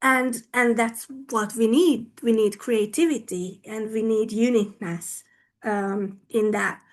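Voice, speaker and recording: A woman whose first language is Hungarian, giving an online conference talk about her language teaching. She speaks deliberately and often checks in with her listeners. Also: on the high side.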